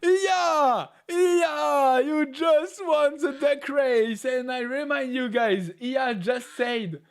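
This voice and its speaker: A man hosting a live stream, chatting casually in English with a non-native accent, laughing often and filling pauses with "uh". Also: very high-pitched, faint music behind. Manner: laughs often, talks with many "uh" fillers. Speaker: livestream host.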